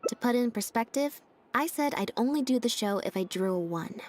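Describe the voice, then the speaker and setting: Game role: a cool, deadpan young magician's assistant, a touch high, flat and dry. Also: female voice, fairly quick. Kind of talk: video game voice acting.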